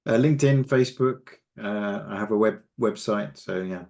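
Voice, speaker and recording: A middle-aged or older man, answering casually as an interview guest, pausing and correcting himself. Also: on the low side, gravelly, darkish tone. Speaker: leadership coach, podcast guest.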